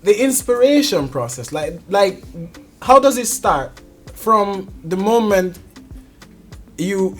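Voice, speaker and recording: A man with a somewhat high voice hosts a relaxed, informal interview. He puts his questions in slangy, conversational phrasing, with faint music in the background. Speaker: interview host.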